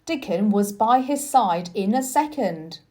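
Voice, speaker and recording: Slow, animated woman storybook reader. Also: mid-range voice. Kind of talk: scripted narration for children.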